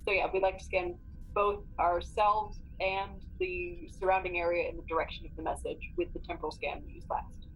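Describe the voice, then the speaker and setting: A woman's voice: lowish voice, huge pitch swings, moderate pace, a little hiss or hum, low-quality recording. A woman playing a tabletop roleplaying game, casually discussing and planning her next moves.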